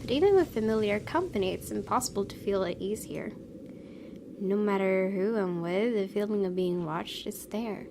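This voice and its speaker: Woman reading a story over noise. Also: medium pitch. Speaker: gaming video host. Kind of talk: reading visual novel narration aloud with asides. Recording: clear background noise.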